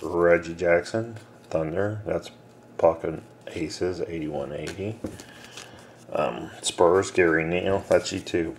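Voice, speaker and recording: A man breaking a box of trading cards on camera, reading out names and teams in a low mumble, casual and unhurried. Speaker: card breaker. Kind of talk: casual card-break commentary. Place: small room indoors. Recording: noisy room with card shuffling.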